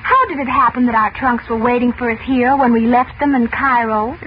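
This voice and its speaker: A woman's voice: a little high, brisk, lightly acted. An actress playing an eager, chatty teenage girl in a 1940s radio drama. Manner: bubbly, excitable. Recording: muffled old radio broadcast with hiss.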